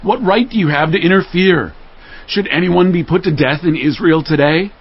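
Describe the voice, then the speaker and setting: A man's voice: on the high side, moderate pace, slightly rough, muffled recording. An actor playing a stern, commanding king in a dramatized audio Bible, with regal oratory. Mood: indignant.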